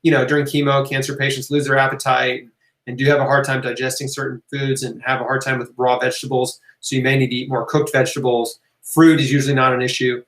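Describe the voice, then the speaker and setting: A man's voice: medium pitch, flat delivery, fast, fairly bright tone. A man hosting a casual live question-and-answer talk, speaking informally and emphatically, encouraging listeners and repeating key phrases. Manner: informal, conversational, checks in with "right?" and "okay?". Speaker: host of a live health Q&A. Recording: roomy, echoing room sound.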